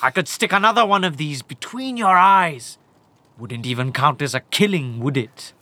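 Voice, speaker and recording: A man's voice: on the high side, lively intonation, normal speed, pushed and bright, dry recording. A man playing a paranoid, menacing bandit warlord in an audio drama, mocking and theatrical.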